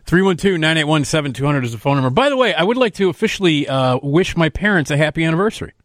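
A man's voice: fairly high pitch, animated, fast, a bit bright, no room sound, studio quality. A man from Chicago hosting a radio talk show, chatty and stumbling over repeated words. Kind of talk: radio interview. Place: radio studio.

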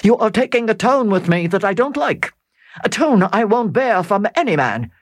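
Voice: mid-range voice, very animated, moderate pace, no room sound, studio quality, voice pushed up. A reader voicing a sulky, hot-tempered aristocratic husband who speaks in an irritable, blustering way. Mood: angry.

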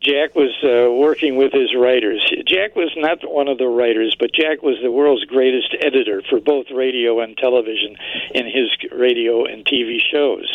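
Older man, creaky voice, dry studio sound, anecdotal storyteller, radio interview guest. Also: medium pitch, normal speed, hardly any pauses, no echo, narrow, tinny audio.

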